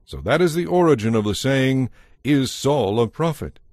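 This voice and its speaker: A man's voice: mid-range voice, expressive, normal speed, a little mellow, no echo, clean studio sound. A man narrating a dramatized audio Bible as a scripted story.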